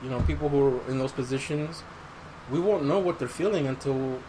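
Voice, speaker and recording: A man's voice: mid-range voice, fairly quick, background noise. A man chatting casually as a podcast co-host, repeating phrases for emphasis. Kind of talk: casual chat.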